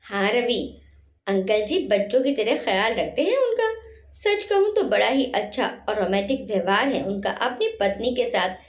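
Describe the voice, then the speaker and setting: A woman voicing a young newlywed woman doctor who teases gently in a playful way. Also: medium pitch, sing-song, normal speed, close to an everyday voice. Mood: teasing, admiring. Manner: light, playful teasing. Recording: roomy echo.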